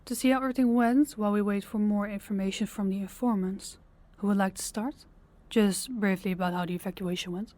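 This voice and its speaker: A woman's voice: medium pitch, fairly even intonation, fairly quick, dark tone, no echo, natural voice. A woman playing a composed, commanding crime boss in a scripted audio drama, businesslike and authoritative. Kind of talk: scripted audio roleplay acting.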